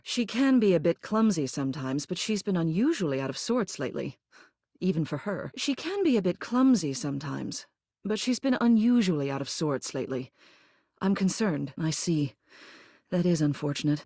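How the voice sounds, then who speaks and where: Low-voiced, gracious older lady mayor. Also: a little mellow, no room sound, clean studio sound. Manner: genteel, theatrical, uses fond endearments. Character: gracious, commanding older lady mayor, a living household object. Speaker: mayor and homeowners' association president. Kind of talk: scripted video game character dialogue.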